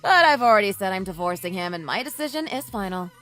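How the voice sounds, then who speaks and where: Woman acting a scornful, firm wife, very animated. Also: medium pitch, quick pace, faint music behind, natural voice. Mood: cold, resolute. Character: angry, resolute, scornful wife divorcing her husband. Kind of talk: dramatized story dialogue.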